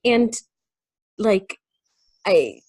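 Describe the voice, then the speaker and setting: A woman guest explaining herself in casual conversation, thoughtful and halting, sometimes voicing her thoughts as quotes. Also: mid-range voice, big pitch swings, a little mellow, breathy edge. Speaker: guest on a remote call.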